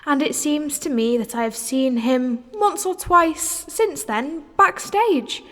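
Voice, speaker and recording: A woman's voice: high voice, takes it slowly, fairly bright tone. A woman acting in an audio drama as a poised, worldly, successful actress, speaking in an articulate, theatrical and condescending manner. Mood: cool, bemused. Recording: reverberant hall-like room.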